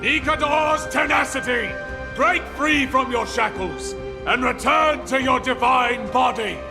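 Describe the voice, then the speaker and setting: A fervent male ritual chanter from a fantasy game. He declaims slowly at a very high pitch with a gravelly edge, in long reverb over music. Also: heavily acted. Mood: fervent invocation. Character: fervent ritual chanter commanding a god to return. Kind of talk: dramatic chanted invocation in a video game.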